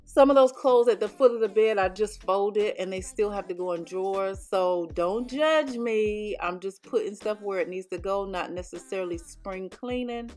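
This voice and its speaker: Middle-aged woman, casual chatty voice-over, mid pitch, light music underneath. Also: moderate pace, few pauses, darkish tone. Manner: chatty, explaining with frequent ums. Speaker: home vlogger.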